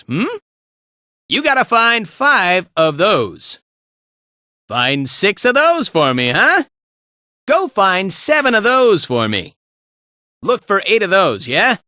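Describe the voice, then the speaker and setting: A man's voice: sits high, bright, forceful tone, dry recording, studio quality, exaggerated voice. An actor playing a laid-back, playful male fighter in a video game, with an easygoing, casual drawl. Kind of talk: animated video game voice lines.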